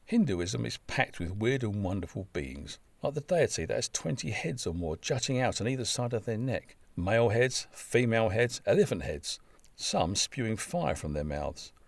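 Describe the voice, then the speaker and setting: Droll man, lively pitch, dry sound. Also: normal speed, creaky, dry recording. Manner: wry, droll, deadpan asides. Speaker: writer reading own travel story. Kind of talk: scripted humorous narration.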